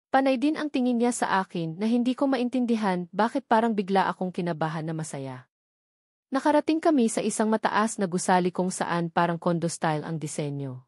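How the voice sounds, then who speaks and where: A woman's voice: medium pitch, a little mellow, no echo, clean studio sound. A woman narrating a first-person romance story from a script.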